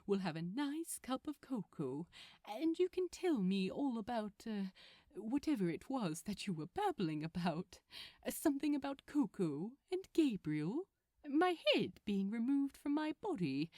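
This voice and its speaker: A gentle male angel from an audio story, voiced by a woman, fond and a little fussy. He speaks slowly and tenderly, with lilting pitch and genteel teasing, in a dry, close recording. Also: sing-song, no echo. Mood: fond, coaxing.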